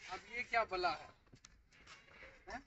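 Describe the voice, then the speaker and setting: A man's voice: high-pitched, sing-song, takes it slowly, gravelly, bright, forceful tone, muffled recording, soft background music. A young man from India chatting playfully to viewers while trying out outdoor gym machines in a park, recorded on a handheld phone. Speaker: vlogger. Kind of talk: casual vlog chat. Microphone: handheld phone camera, moving around.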